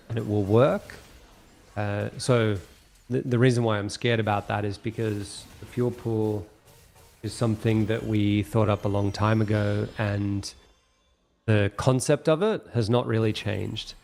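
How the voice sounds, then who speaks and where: Measured, thoughtful low-voiced man. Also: a little mellow, a little hiss or hum. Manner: thoughtful and measured, slowing down to explain. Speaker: project lead answering questions. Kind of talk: unscripted answers at an online town hall.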